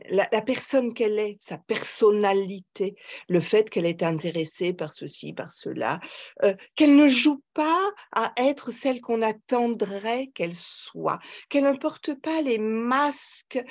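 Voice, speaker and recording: An older woman, answering conversationally in an interview, emphatic and reflective, pausing as she searches for words. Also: medium pitch, a little mellow. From Paris, France. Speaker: psychoanalyst and author, podcast guest.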